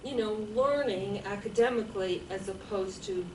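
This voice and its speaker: A woman's voice: mid-range voice, normal speed, background noise. A woman in a group discussion, rambling and thinking aloud, recorded across a meeting room. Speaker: group member. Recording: echoey room, distant.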